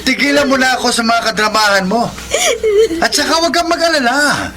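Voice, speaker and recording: A cruel, domineering Filipino husband from a radio melodrama. His voice sits very high and drips with mocking contempt. Music plays clearly behind him. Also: lively intonation, brisk. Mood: scornful. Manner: sneering, scornful, mocking laughter in speech.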